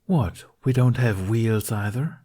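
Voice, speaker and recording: A man's voice: fairly low pitch, slow pace, dark tone, husky. A man voicing a mischievous, breezy young male performer in a storybook, joking and flippant. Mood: teasing.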